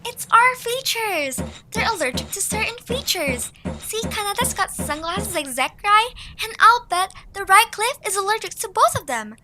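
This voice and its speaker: Woman as excitable, clever little creature, very high voice, animated delivery, music underneath. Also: normal speed, background noise. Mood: working it out. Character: young female cartoon creature, analytical, excitable problem-solver. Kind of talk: amateur audio drama acting.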